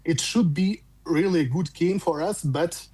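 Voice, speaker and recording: A man with a Russian accent, calling in to a fan show, speaking English as a second language in halting phrases with frequent restarts. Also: a little high, darkish tone, breathy edge. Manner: halting, repeats words and restarts while searching for English. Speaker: caller. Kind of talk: phone-in fan chat.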